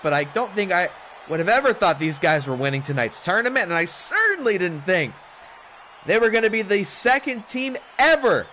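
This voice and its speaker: A hoarse man commentating a wrestling video game on a stream, with animated, chatty hype. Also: high-pitched, slight background noise. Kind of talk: play-by-play commentary.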